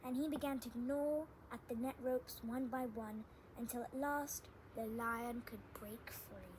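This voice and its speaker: A woman's voice: a little high, a little slow, a little mellow, slight background noise, poor audio. A woman reading a story aloud for children as the host of a storytime. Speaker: storybook reader, channel host.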